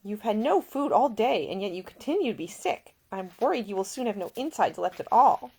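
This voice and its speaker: A woman's voice: medium pitch, expressive, brisk, creaky, a little mellow, slightly rough, pitched above the performer's own voice. A woman voicing a caring, earnest and proper young woman in a storybook, solicitous and polite. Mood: worried, coaxing.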